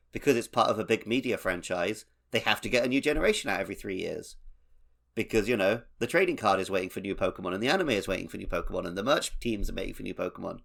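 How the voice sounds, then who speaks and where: A man co-hosting a podcast, talking casually and emphatically in animated conversation. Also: lowish voice, vocal fry. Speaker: podcast co-host. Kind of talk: casual video game podcast discussion.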